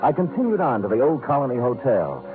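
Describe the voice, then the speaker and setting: An actor playing a cool, wry private detective in an old-time radio drama, speaking in a deadpan, clipped way. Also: male voice, medium pitch, fast, phone-quality sound. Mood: wry narrating. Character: wry hard-boiled male private detective, cool and persistent. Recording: band-limited old radio broadcast, music behind.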